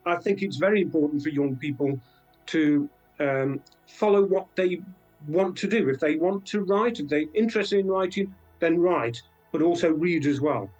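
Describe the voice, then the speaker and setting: Higher-voiced British man, halting and reflective. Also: darkish tone, dull audio. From Britain. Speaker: guest author. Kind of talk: conversational interview.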